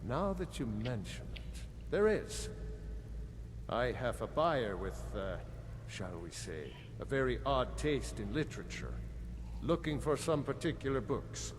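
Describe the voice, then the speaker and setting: An actor playing a shady, weary tavern keeper who brokers underworld deals with sly hesitation, in video game dialogue. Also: male voice, mid-range voice, sing-song, measured pace. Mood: sly, hushed. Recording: reverberant game audio with background noise.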